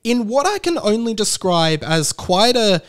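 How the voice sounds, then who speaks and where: Australian male podcast host, thirties, higher-than-average voice, casual delivery with wry, mock-serious musings. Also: very animated, measured pace. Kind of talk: casual solo podcast commentary.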